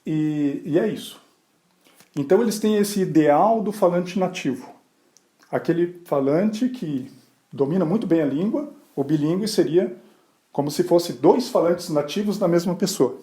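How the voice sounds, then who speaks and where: A man from Brazil hosting a podcast, explaining ideas in a relaxed, conversational way, with rhetorical questions and brief asides where he acts out other people. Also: fairly high pitch, a little slow. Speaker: podcast host. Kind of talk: explanatory solo podcast talk.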